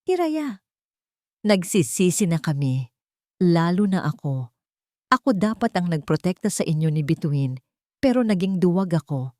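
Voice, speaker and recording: A woman's voice: on the low side, very animated, unhurried, long pauses, dark tone, no room sound, studio quality. A Filipino woman voicing a regretful, cowardly man who pleads contritely in a dramatic story. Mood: contrite pleading. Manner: beseeching, contrite. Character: regretful, cowardly adult son and ex-partner, pleading.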